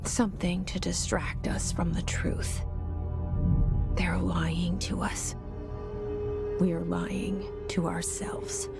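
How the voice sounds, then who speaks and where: An actress playing a guarded young woman speaking a breathy, half-whispered inner monologue. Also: on the low side, level tone, slow, long pauses, loud music behind the voice. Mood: hushed. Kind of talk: game narration, inner monologue.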